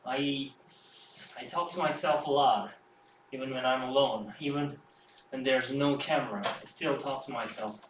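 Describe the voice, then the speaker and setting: A man who is not a native English speaker, rambling and thinking aloud after a home workout, with many halting restarts. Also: mid-range voice, fairly even intonation, a bit bright. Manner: rambling, halting, self-reflective. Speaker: fitness streamer. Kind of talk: casual musing after a workout. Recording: roomy echo, distant mic, faint background hum.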